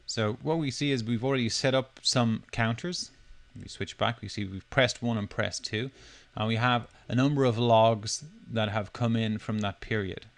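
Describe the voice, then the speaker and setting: A man presenting a software demo, talking through it step by step in a loosely unscripted, explanatory way. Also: medium pitch, fairly even intonation, moderate pace. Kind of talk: step-by-step screencast tutorial narration.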